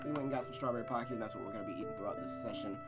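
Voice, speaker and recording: A man's voice: fairly low pitch, very fast, over loud music. A young man hosting a reading of a visual novel, talking energetically and casually, then narrating the story. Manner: energetic, chatty, swears casually.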